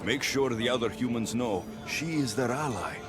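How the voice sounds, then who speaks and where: An actor playing a blunt, guarded mercenary hero with a dry, sardonic delivery in a video game cutscene. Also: male voice, mid-range voice, lively intonation, normal speed, close to an everyday voice. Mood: matter-of-fact. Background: background music.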